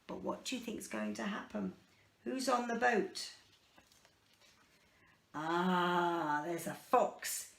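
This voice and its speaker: A woman's voice: medium pitch, expressive, slow pace, vocal fry, long pauses, echoey room. A woman reading a picture book aloud to children in a chatty way, prompting them with simple questions. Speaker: children's storyteller.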